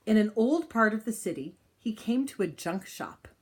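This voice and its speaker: A woman reading a children's picture book aloud in an expressive style, with deliberate, dramatic phrasing and pauses. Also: medium pitch. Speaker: storybook reader.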